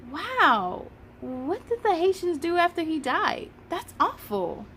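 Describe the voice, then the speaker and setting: High-pitched curious schoolchild voiced by a woman. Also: sing-song, slow, fairly bright tone, a little hiss or hum, full character voice. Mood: curious, impressed. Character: curious schoolchildren of about twelve, eager and impressed. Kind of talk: children's storybook dialogue.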